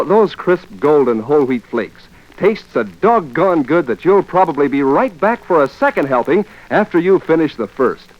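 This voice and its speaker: A man announcing a commercial in folksy 1940s radio style, chummy and enthusiastic as if talking to children. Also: a little high. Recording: dull old radio record.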